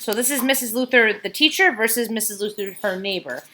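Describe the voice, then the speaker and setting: A woman reading a children's story aloud like a teacher, with expressive emphasis on key words and casual asides. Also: a little high, a little fast, a little hiss or hum.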